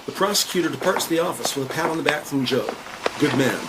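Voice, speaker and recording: A man voicing the narrator of a crime audio drama, describing scenes in a measured, wry, scripted way. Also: on the high side, noisy background, natural voice. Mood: matter-of-fact.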